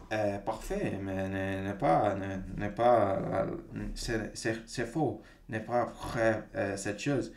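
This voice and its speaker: A man's voice: on the low side, darkish tone. Man, hesitant, many fillers, echoey room. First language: Portuguese. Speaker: language-learning video host. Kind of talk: casual talk to camera.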